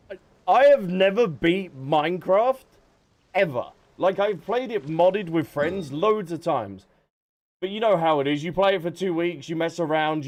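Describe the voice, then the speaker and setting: Higher-pitched man chatting on a game livestream. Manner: chatty, addresses viewers by name. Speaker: live streamer. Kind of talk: casual game livestream chat. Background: game music.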